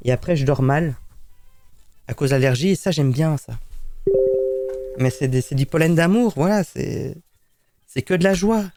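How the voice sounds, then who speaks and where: A man's voice: fairly high pitch, moderate pace, long pauses, a little mellow, faint music behind. A man streaming live, chatting casually and rambling teasingly to his cat.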